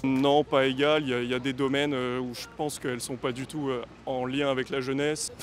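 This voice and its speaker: A man's voice: medium pitch, level tone, a little fast, loud music behind the voice. A man in his early twenties answering casually in a street interview. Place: outdoors on a city street. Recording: faint urban street noise.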